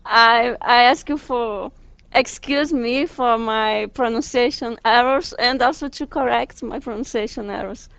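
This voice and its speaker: A woman in her forties from Brazil with a Brazilian Portuguese accent, giving a university lecture slowly and carefully, with frequent hesitations. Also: a little high, creaky, fairly bright tone, clear, resonant tone, no room sound. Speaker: guest lecturer. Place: lecture hall. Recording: dull lo-fi lecture recording.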